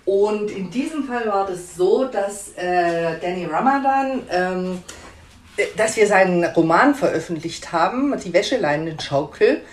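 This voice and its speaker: A woman's voice: mid-range voice, lively intonation, a little slow, roomy sound, a little hiss or hum. A woman publisher answering interview questions in a hesitant, conversational way with frequent fillers and restarts.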